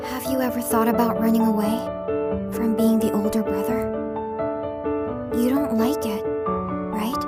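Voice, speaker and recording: A woman's voice: a little high, fairly even intonation, unhurried, pauses often, over loud music, natural voice. A woman playing a wary, fiercely protective young runaway girl in an anime-style manga dub. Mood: curious, tentative.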